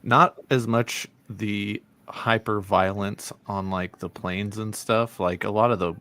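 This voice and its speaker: A man's voice: on the low side, steady tone, slow, a bit bright. A man chatting casually in a book discussion.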